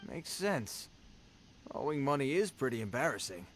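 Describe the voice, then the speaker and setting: A man's voice: mid-range voice, sing-song, moderate pace, a little hiss or hum, natural voice. A man voicing a deferential small-time bandit in a video game, hesitant and placating. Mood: puzzled.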